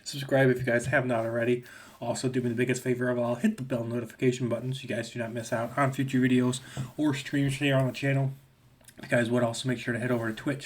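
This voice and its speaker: A young man with a slight cold talks through a casual movie review. He rambles, filling gaps with ums and restarting his sentences. His voice sits at an ordinary male pitch. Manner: rambling, with many fillers and restarts. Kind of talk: casual video review rant.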